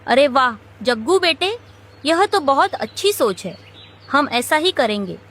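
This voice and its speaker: A woman's voice: sits high, big pitch swings, measured pace, a bit bright, exaggerated voice. A woman voicing a caring, protective mother bird in a children's cartoon. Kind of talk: animated children's story acting.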